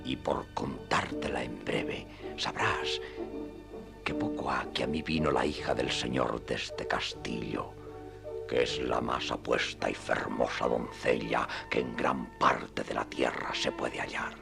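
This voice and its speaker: Radio play deluded old knight: rumbling bass, slow, grandiloquent, hushed and gravelly, music underneath. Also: male voice, steady tone, exaggerated voice. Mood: rapt, confiding. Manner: pompous, hushed and confiding. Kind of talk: radio drama acting in archaic literary Spanish.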